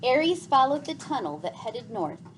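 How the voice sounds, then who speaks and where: Female storybook narrator, higher-voiced, very animated, dramatic and deliberate read-aloud delivery. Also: a little hiss or hum, poor audio. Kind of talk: expressive scripted storybook narration.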